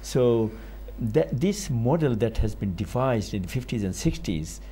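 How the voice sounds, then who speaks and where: An older man from Bangladesh, speaking as an author on a TV panel in a studio, measured and rising for emphasis. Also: medium pitch, expressive, mellow, husky. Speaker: author guest. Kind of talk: TV panel discussion. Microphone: lapel.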